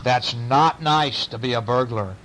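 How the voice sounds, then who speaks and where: A man voicing a kindly, eccentric aunt who loves her cats, exclaiming and gently teasing in a storybook tale. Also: mid-range voice, unhurried, pitched below the performer's own voice, natural voice, poor audio.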